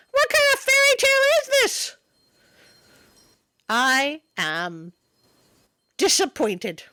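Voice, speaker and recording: Small furry monster storyteller , played by a woman: higher-pitched, expressive, wry and easily sidetracked, storybook reading with clear music underneath. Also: no echo, exaggerated voice. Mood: mock indignant. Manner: expressive, wry, interrupts the reading with mock indignation. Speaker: storyteller and host. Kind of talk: storybook reading with comic asides. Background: music behind the voice.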